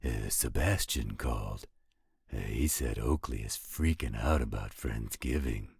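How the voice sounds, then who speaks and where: A man's voice: rumbling low, measured pace, vocal fry, husky, no room sound, clean studio sound, full character voice. A man playing an easygoing, coaxing partner in a scripted roleplay audio drama, with a patient drawl. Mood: concerned. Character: easygoing male partner soothing his mate, potato-loving.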